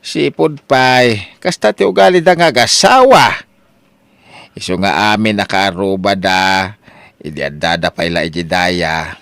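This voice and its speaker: A man's voice: sits low, measured pace, creaky, fairly bright tone, smooth, no room sound, exaggerated voice. A man playing a plain-spoken older father who advises his grown children emphatically in an Ilocano audio drama. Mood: admonishing.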